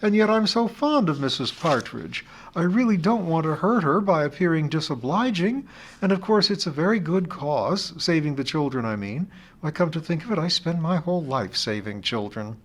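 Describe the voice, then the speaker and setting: A man's voice: high-pitched, steady tone, fast, hardly any pauses, voice pushed up. A man voicing a flustered woman schoolteacher who speaks in an anxious, wailing way.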